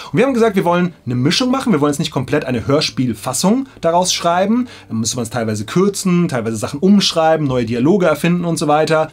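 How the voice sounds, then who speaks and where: A man's voice: fairly high pitch, slightly rough. A man in his thirties explaining things casually and enthusiastically to camera in a small studio, in colloquial language. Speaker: audiobook production presenter. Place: small indoor audio studio.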